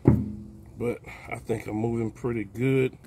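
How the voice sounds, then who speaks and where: An older man giving a relaxed, folksy how-to narration outdoors in a backyard while he works with his hands. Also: mid-range voice, takes it slowly, creaky, music in the background. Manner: folksy, relaxed, talks while plucking, calls out "baby". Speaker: backyard homesteader. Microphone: phone camera held close, hands-on filming.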